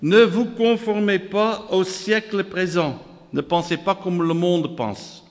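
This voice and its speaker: A man with a Dutch accent preaches in slow, deliberate French, his somewhat high voice ringing with a long reverb through the hall. He reads scripture aloud, repeats phrases in rhythmic lists and calls out to the congregation. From Netherlands. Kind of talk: sermon.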